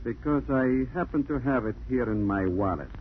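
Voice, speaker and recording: A man's voice: mid-range voice, expressive, moderate pace, lightly acted. A cold and mocking male spy villain, played by a radio actor in a 1940s thriller. He speaks in wordy, suave threats over a tinny, hissy old broadcast. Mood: smug menace. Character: cold smug spy villain, menacing, easily rattled. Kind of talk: 1940s radio drama acting.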